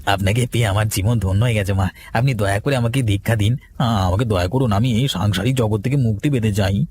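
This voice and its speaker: A man's voice: fairly low pitch, brisk, darkish tone, slight background noise, close to an everyday voice. A man playing an eager, cowardly young disciple who stammers deferentially in a horror cartoon. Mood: eager, nervous. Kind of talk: cartoon horror drama acting.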